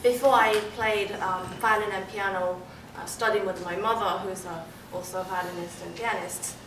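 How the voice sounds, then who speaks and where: A woman's voice: medium pitch, fairly even intonation, normal speed, far from the mic. A young woman from South Korea, a native Korean speaker with a British-influenced accent, modestly and hesitantly answering interview questions as a musician in a noisy, roomy hall. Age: young adult. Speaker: double bassist, concert soloist being interviewed. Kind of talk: onstage interview answers to an audience. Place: concert hall stage.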